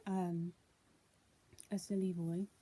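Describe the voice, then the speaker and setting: A woman's voice: on the low side, little pitch movement, slow pace, warm tone, breathy edge, low-quality recording. A young adult woman, speaking as a vlogger in a casual, homemade style. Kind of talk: casual vlog, reading news aloud and chatting.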